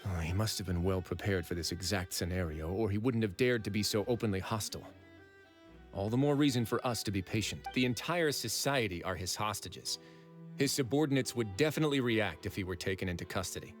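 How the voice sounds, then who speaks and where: An actor voicing a composed, protective fortress warden of noble rank in a video game, measured, dry and commanding. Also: male voice, lowish voice, close to an everyday voice. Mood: grimly patient. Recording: background music under the voice.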